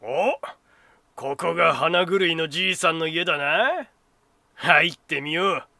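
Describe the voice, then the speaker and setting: Man doing a loud, forceful, bullying ruffian. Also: on the high side, animated, a little slow, no room sound, heavily acted. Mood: swaggering. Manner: rough, barking, threatening. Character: rude, violent male ruffian, bullying and loud.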